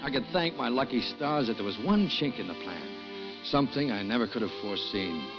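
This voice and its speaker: A man's voice: mid-range voice, very animated, a little fast, lightly acted. An actor playing an anxious, scheming working-class man who narrates his own crime in old radio suspense style, tense and prone to stammering. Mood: relieved. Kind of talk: 1940s-50s radio suspense acting, first-person narration. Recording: dull vintage radio recording, music behind.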